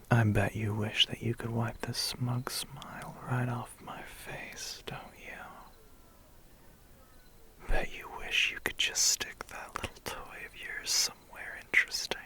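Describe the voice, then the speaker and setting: A man's voice: medium pitch, little pitch movement, slow, pauses often, bright, forceful tone. A man playing a smug, menacing, centuries-old vampire biker in an ASMR roleplay, whispering breathily with a condescending, teasing manner. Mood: taunting.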